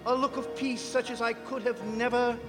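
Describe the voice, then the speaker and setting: Very high-voiced, grave vampire hunter played by a man. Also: flat delivery, moderate pace. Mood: solemn. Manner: solemn, imperative, dramatic. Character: authoritative male vampire hunter, grave and urgent. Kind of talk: stage radio drama acting. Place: performing arts centre stage. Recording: reverberant theatre hall recording, music behind.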